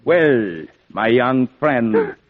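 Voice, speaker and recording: Sinister male vampire aristocrat, slow delivery that swoops theatrically, thin vintage radio sound. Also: mid-range voice, lively intonation, phone-quality sound. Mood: silky menace. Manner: slow, theatrical, menacingly deliberate. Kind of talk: old-time radio horror play acting.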